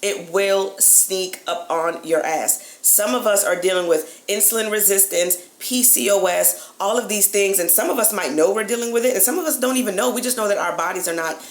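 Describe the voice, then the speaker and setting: A woman, giving casual, emphatic, sisterly advice in a colloquial vlog style with drawn-out words. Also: mid-range voice. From Jamaica-born, grew up in the Bronx, New York. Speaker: YouTube host, dating and lifestyle coach. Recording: echoey room with clear background noise.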